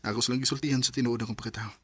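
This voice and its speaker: Husky, earnest man confessing love. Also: medium pitch, fairly even intonation, rapid-fire, fairly bright tone. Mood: hesitant, tender. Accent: Cebuano. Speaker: radio drama actor. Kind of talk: Filipino radio drama acting.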